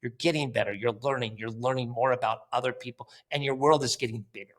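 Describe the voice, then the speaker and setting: Blunt, animated male founder who swears casually. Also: medium pitch, fast talker. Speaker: company founder, guest. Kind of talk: casual interview.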